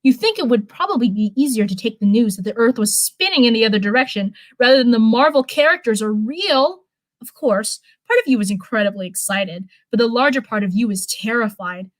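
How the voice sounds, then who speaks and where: A woman's voice: a little high, lively intonation, fairly quick, no room sound. A woman reading a story aloud as narration, now and then stumbling over a word and going back over it. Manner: stumbles over words and rereads them. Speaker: live stream host reading fan fiction.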